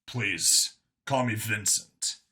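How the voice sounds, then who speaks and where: A man's voice: lowish voice, slow pace, bright, forceful tone, slightly rough, exaggerated voice. A man voicing a wry, wary human cop in an audiobook, informal and questioning. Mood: friendly. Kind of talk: audiobook character acting.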